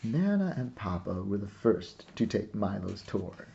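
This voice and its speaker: A man reading a children's story aloud in a deliberate, animated narrating style. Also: lowish voice, creaky, muffled recording, a little hiss or hum. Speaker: storybook reader.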